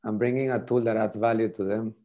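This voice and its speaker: Middle-aged french guy on a video call, low mellow voice, keeps restarting his words. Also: level tone, quick pace, muffled recording. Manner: hesitant, repeats words while thinking. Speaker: tool demonstrator. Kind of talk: casual video call demo.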